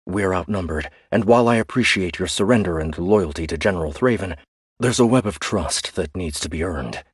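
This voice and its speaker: A man voicing a small, cunning, rodent-like alien soldier who gives calm, clipped commands in an ordinary low voice. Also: fairly quick, slightly rough, dry recording, studio quality. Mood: cool, commanding.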